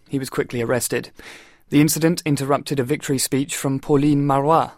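Male newsreader, medium pitch, scripted bulletin delivery, close and dry. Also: dry recording. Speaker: radio newsreader. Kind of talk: scripted news reading.

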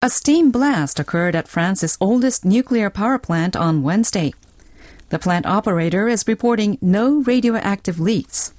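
A woman's voice: medium pitch, animated, a little slow, darkish tone, no room sound, clean studio sound. A woman reading scripted international news as a radio newsreader.

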